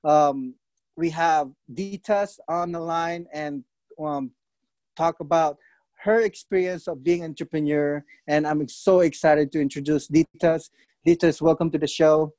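A man of Filipino origin hosting a podcast, friendly and halting, with frequent fillers. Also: fairly high pitch, steady tone, darkish tone, dry recording. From Philippines. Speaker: podcast host. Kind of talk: casual interview hosting.

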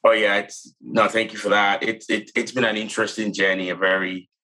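Ghanaian man, late thirties, low voice, bright forceful tone, thoughtful interview guest. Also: flat delivery, brisk. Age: late 30s. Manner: thoughtful, with frequent restarts and "you know". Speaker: CFO guest. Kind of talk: interview, telling his career story.

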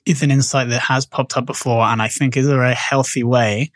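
A man's voice: medium pitch, fairly even intonation, fairly quick, a bit bright, no echo. Male podcast host, mid-range voice, dry close-mic sound, polished interviewer. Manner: polished, friendly interviewer with small stumbles.

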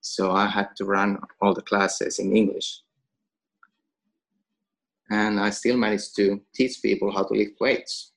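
Gravelly, low-voiced Finnish man. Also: flat delivery, measured pace, long pauses, low-quality recording. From Finland. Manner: chatty, laughs between phrases. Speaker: gym owner and coach. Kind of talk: casual podcast conversation.

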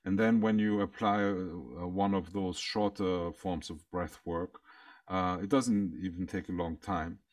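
A man with a very low voice, German his first language, talks haltingly with frequent fillers. He sits back from the microphone in a dry space. He speaks as a counselor answering questions in a podcast interview. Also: fairly even intonation, no room sound, far from the mic. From Austria. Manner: halting, many ums and repeated words. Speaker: counselor and breathwork guest.

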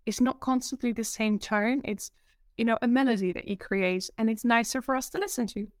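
Giggly Dutch woman, higher voice. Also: fairly quick, no room sound, studio quality. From Netherlands. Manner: giggly, animated, expressive. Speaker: presentation coach, guest. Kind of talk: casual podcast interview.

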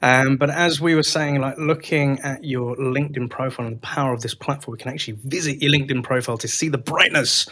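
A man's voice: mid-range voice, fairly even intonation, fast, hardly any pauses, pushed and bright. A man hosting a podcast interview, upbeat and chatty, with many fillers. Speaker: podcast host.

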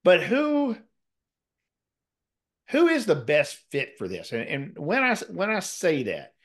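A man's voice: high voice, huge pitch swings, slow pace. A man hosting an interview who rambles and repeats words as he frames his questions. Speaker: podcast host.

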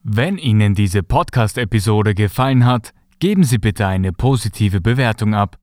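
A man announcing a podcast outro in an even, read-out delivery. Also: fairly low pitch, normal speed, no echo, clean studio sound, soft background music. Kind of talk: scripted outro.

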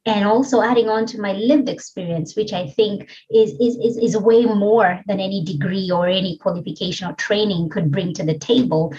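A woman's voice: mid-range voice, moderate pace, hardly any pauses, dark tone, muffled recording. A middle-aged woman from Kenya with a Kenyan accent, a podcast guest telling her story earnestly and reflectively, with many fillers. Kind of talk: interview conversation.

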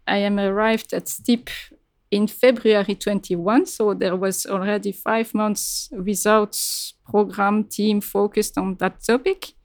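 A woman's voice: mid-range voice, steady tone, takes it slowly, a little mellow, no echo. A woman answering interview questions as a client project leader, in direct, emphatic, clipped phrases.

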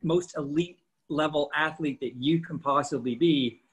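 A man's voice: on the high side, unhurried, slightly rough. A man in his fifties co-hosting a casual podcast, explaining training and nutrition with lots of rhetorical questions. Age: 50s.

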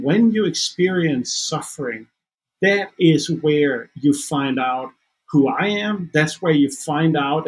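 Husky-voiced earnest man, Danish native. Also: on the high side, poor audio. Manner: earnest, halting with repeated restarts. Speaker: guest counselor. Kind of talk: reflective faith conversation.

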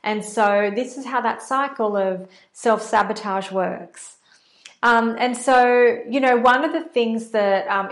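A woman's voice: mid-range voice, reverberant. A woman with an Australian accent, giving a chatty, earnest talk as a manifesting coach, explaining with many fillers. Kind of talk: casual teaching monologue.

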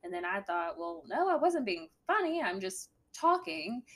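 Woman co-host, slightly deep voice, dry and deadpan, candid conversational delivery. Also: normal speed. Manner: dry, deadpan sense of humor.

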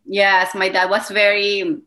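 Bright-voiced Venezuelan woman in her thirties. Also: medium pitch, monotone, slow pace. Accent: Venezuelan Spanish. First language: Spanish. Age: 30s. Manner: enthusiastic, slightly non-native phrasing. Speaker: wellness coach, guest. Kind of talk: interview conversation.

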